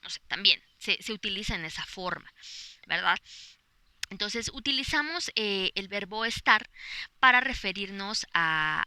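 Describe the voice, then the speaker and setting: A woman's voice: mid-range voice, pushed and bright, slight background noise. A woman with a Mexican accent, a Spanish teacher giving a podcast lesson outdoors in a park, explaining patiently with many fillers and examples.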